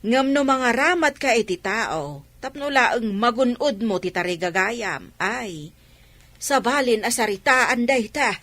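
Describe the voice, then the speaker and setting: A woman's voice: fairly high pitch, lively intonation, unhurried, fairly bright tone, no echo. A woman with an Ilocano accent playing a disapproving, principled wife and mother in a radio drama. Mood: reproachful.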